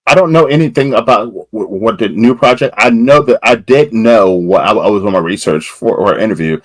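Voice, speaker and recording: A man's voice: on the low side, a little fast, no echo, low-quality recording. A man hosting a podcast interview, asking long rambling questions with lots of fillers. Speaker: podcast host.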